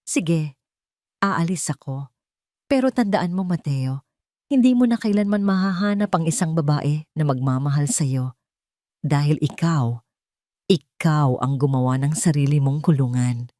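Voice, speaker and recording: A woman's voice: sits low, measured pace, long pauses, warm tone, dry recording. Woman doing a scheming young mistress in an audio love story, smug n taunting, dry close sound.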